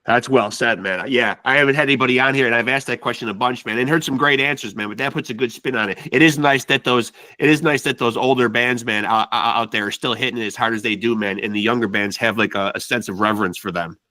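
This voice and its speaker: A man's voice: medium pitch, fast talker, few pauses, bright, forceful tone, no room sound. A man hosting a podcast, chatting in a laid-back way and tossing "man" into nearly every sentence. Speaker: podcast host. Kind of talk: casual interview chat.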